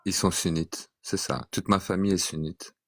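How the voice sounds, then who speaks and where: A man in an online debate, speaking calmly and methodically and reciting verses to make his point. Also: deep voice, normal speed, no room sound. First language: French speaker.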